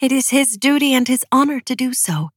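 A woman's voice: low-pitched, steady tone, a little fast, fairly bright tone, no room sound, clean studio sound. A woman voicing a cunning young widow who feigns meek, weary devotion in an audiobook, earnest and careful. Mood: pleading.

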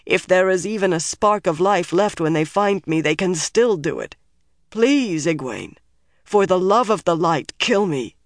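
A woman's voice: fairly low pitch, lively intonation, unhurried, dry recording. Woman voicing a tortured young man, strained, begging, desperate, fantasy audiobook character, dry close sound. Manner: strained, pleading.

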